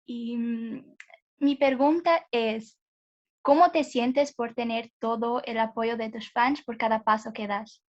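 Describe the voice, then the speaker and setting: A woman's voice: fairly high pitch, steady tone, slow, dull audio. A woman from Portugal, a Portuguese native speaker, hesitantly asking a question as a nervous fan.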